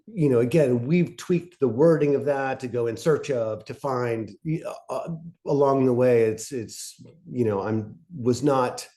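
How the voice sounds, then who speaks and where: Guy with a soft dark mellow voice, presenting casually, kinda halting, midrange pitch. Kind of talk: informal workshop talk.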